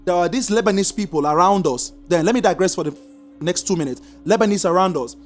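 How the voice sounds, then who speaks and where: A preacher teaching a congregation, stressing points in slow drawn-out repeated commands. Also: medium pitch, faint music behind. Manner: lively sing-song emphasis, slow drawn-out repeated commands. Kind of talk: sermon teaching.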